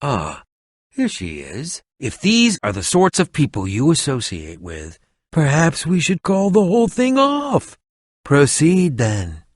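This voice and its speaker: A man's voice: fairly high pitch, wildly swooping pitch, slow, no room sound, clean studio sound, heavily acted. A male actor voicing a haughty, scheming, villainous potion-maker in a video game, pompous, sneering and melodramatic, with over-enunciated delivery. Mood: impatient, disdainful. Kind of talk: video game character acting.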